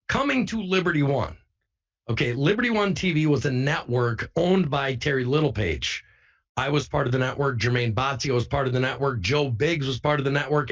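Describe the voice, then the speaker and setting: A man's voice: mid-range voice, a bit bright, husky, lo-fi sound. A man giving an informal, insistent first-person account to viewers as an online broadcaster, repeating phrases for emphasis.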